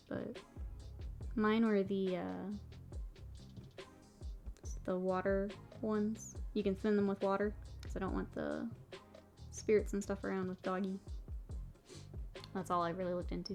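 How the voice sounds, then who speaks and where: A woman's voice: mid-range voice, darkish tone. Chatty woman streaming while she paints. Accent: mixed northern US and Texas. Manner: chatty, reads chat aloud, laughs often. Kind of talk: casual livestream chat while painting.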